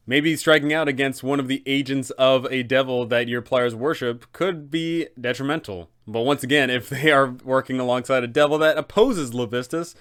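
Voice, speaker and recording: A man's voice: mid-range voice, a bit bright, smooth. A man talking as an informal game master host, explaining things in a conversational, instructional way.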